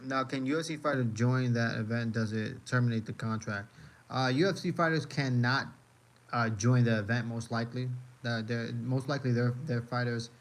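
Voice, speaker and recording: Rambling American man live-streaming. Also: medium pitch. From United States. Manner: rambling, repeats phrases, many fillers. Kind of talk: casual live-stream chat reacting to videos.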